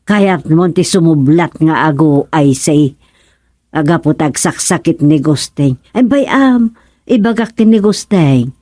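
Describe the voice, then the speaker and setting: An actress with an Ilocano Filipino accent playing a blunt, needling village wife who asks pointed questions in a radio comedy drama. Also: female voice, deep voice, lively intonation, takes it slowly, mellow, no room sound, clean studio sound, full character voice.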